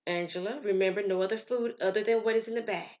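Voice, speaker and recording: A woman's voice: mid-range voice, fairly even intonation, fast talker, a little mellow, close to an everyday voice. Woman as mother of a sick child, worried, tender, earnest, audio story, slightly muffled sound. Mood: caring, firm.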